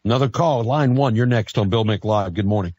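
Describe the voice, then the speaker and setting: A man's voice: fairly low pitch, a little fast, a little mellow, husky, dry recording, muffled recording. A man hosting a live call-in radio show, putting questions to his guest in a conversational style. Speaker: radio talk show host.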